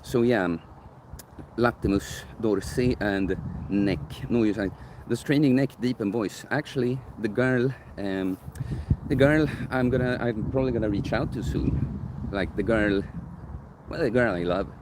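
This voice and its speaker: Deep-voiced man rambling on a live stream. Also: a little slow, background noise. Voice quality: deep bass voice. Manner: rambling, self-correcting, thinking aloud. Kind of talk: casual live-stream chat answering viewer questions. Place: outdoors in a park near sunset. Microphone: phone camera held by the streamer.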